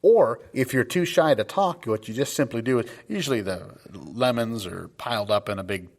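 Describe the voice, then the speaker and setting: A man playing a shifty, awkward call-in guest in improvised radio comedy, halting, rambling and defensive. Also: mid-range voice, creaky. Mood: earnest, rambling. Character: shifty, awkward male caller with oddball dating tips.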